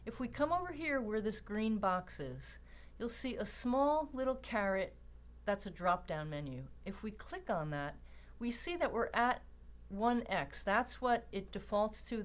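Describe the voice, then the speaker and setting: A woman narrating an instructional screencast in a deliberate, explanatory way with emphatic pauses. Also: medium pitch. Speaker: library tutorial presenter.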